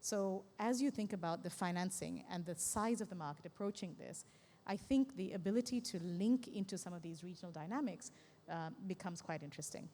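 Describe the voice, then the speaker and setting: A woman from Southern Africa speaking as a conference panelist, explaining ideas in a measured, thinking-aloud way. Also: lowish voice, lively intonation, dark tone, long reverb tail, slight background noise. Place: conference stage.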